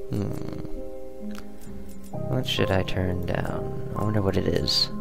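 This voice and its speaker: A man's voice: deep voice, takes it slowly, gravelly. A man giving casual game commentary, muttering and thinking aloud as he plays. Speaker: gamer hosting a let's play. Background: game music and sound.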